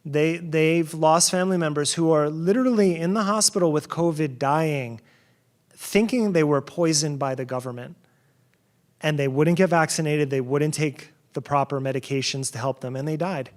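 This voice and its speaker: Middle-aged man from New Jersey, conference keynote, loose and sarcastic, restarts often, a touch high-pitched. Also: normal speed. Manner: conversational, sarcastic asides, frequent fillers and restarts. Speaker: keynote speaker.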